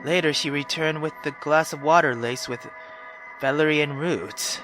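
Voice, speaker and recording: A man doing gaming commentary and reading story text aloud, excitable, slangy and sweary. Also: on the high side, unhurried, music in the background, low-quality recording. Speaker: let's play host.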